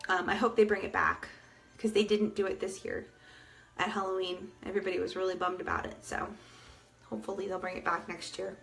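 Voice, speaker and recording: A woman in her thirties chatting casually to viewers on a livestream, gushing with lots of fillers, and sick, so she is losing her voice and getting soft-spoken. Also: medium pitch, flat delivery, a little fast, gravelly, faint music behind. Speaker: livestream host.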